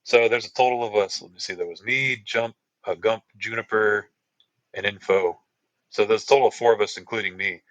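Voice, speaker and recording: A man's voice: fairly even intonation, fairly quick, a bit bright, dry recording, dull audio. A man from Texas, telling trail stories on a podcast in a rambling way, full of fillers and asides. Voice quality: deep voice. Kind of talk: casual storytelling.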